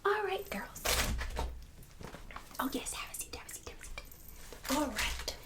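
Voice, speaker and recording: A woman playing a snobbish, bossy book-club mom in an ASMR roleplay, mostly in a breathy whisper with fussy, passive-aggressive condescension. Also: sits high, sing-song, measured pace, far from the mic. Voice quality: breathy whisper and soft speech.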